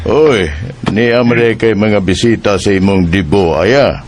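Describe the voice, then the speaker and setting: A man with a Filipino accent playing a teenage bride's father in a radio drama, speaking haltingly. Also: lowish voice, slight background noise. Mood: surprised.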